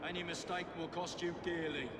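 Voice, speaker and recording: Casting: male actor as menacing crime boss, terse orders, slightly high voice, noisy outdoor game scene. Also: flat delivery, a little fast, lightly acted. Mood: stern, threatening. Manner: stern, commanding. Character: curt, threatening male gang boss. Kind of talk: video game voice acting.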